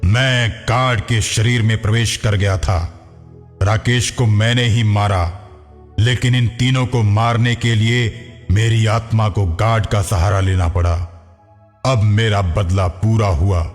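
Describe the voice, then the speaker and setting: Cartoon horror ghost of a murdered young man played by a man: low, grave, vengeful, drenched in reverb. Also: fairly even intonation. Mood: grave, triumphant. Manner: slow, grave, declaiming. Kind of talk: cartoon horror story dialogue. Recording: long hall reverb, music behind.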